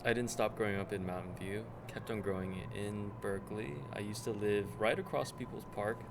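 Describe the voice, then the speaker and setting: Young man, slightly low voice, wry philosophical student narrator, recorded among street traffic. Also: steady tone, moderate pace, creaky. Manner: playful and philosophical, fond of wordplay. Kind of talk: reflective personal narration. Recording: loud outdoor traffic noise.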